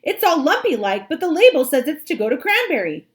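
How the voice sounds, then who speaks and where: A woman's voice: high-pitched, very animated, a little fast, pitched above the performer's own voice. A woman reading a children's storybook, voicing a puzzled, blustery male sailor who exclaims emphatically. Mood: astonished.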